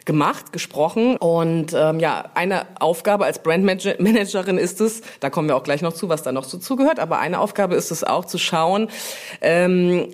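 A woman answering interview questions informally, explaining things with frequent pauses to think. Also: fairly low pitch. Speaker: brand manager, interview guest.

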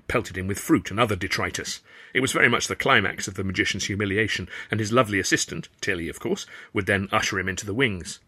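Male audiobook narrator, low, husky, bright-toned, wry delivery. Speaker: author and narrator.

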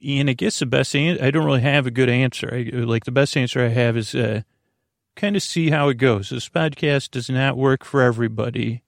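A man hosting a bedtime sleep podcast with a creaky voice, meandering and halting in a goofy, self-deprecating way. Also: mid-range voice, no room sound, clean studio sound. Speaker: podcast host.

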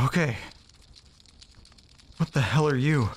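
Male actor as uneasy man alone, audio drama, slow halting delivery, muttering, profane, medium pitch. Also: expressive, noisy background. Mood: puzzled, disgusted. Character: startled, uneasy man alone, muttering to himself and his pet. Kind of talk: naturalistic audio drama monologue.

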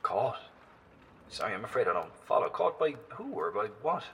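An actor playing a weary, wry professor out in a wasteland in a horror audio drama, earnest, self-deprecating and halting. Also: male voice, on the low side, very animated, creaky, fairly bright tone, slight background noise, heavily acted. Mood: cautious.

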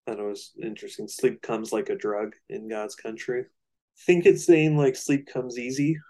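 A man co-hosting a casual music discussion, analytical and somewhat halting as he thinks aloud. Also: medium pitch, fairly even intonation, mellow. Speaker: co-host of a music discussion show.

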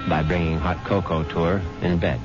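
Low-voiced scheming husband played by an actor, vintage radio. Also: male voice, level tone, moderate pace, lightly acted. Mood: smug, confiding. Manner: unctuous, stammering reassurance, confiding narration. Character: scheming murderous husband, nervously sweet-talking his wife. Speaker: narrator and lead character. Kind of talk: 1970s radio mystery drama acting. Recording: dull vintage radio recording with music.

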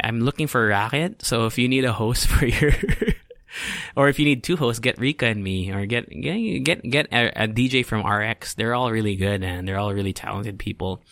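Young man, Filipino accent, podcast host, rambling casual chat, Tagalog words mixed in, forceful bright tone, dry close mic. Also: fairly low pitch, no room sound. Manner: rambling, chatty, self-deprecating. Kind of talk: casual solo podcast monologue.

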